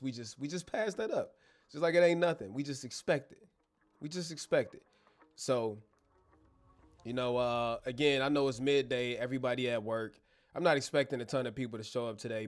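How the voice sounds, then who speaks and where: A man in a home bedroom studio chats live with his viewers in a loose, slangy and joking way. His voice sits at an everyday middle pitch, recorded close on a clip-on mic. Faint music plays underneath. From born in Germany, American. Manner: slangy, joking, addresses chat directly. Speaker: livestream host. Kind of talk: casual live Q&A chat. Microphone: wireless clip-on mic.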